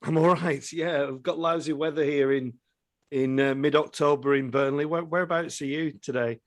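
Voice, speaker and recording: Man, fairly high voice, podcast host, conversational questioning, hesitant phrasing, dry recording. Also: steady tone, moderate pace, dry recording. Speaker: podcast host interviewing a musician. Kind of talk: conversational interview.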